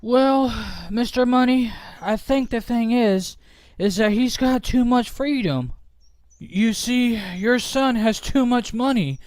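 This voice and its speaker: A man acts out an earnest suburban father for a Minecraft roleplay. His voice is extremely high, smooth and dry. He is polite and explains himself in deliberate phrases. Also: expressive, darkish tone, no room sound, full character voice. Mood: explaining, measured.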